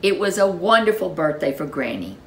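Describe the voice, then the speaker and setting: Low-voiced, animated woman storyteller. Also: moderate pace, slight background noise. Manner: expressive, dramatic. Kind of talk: children's story narration.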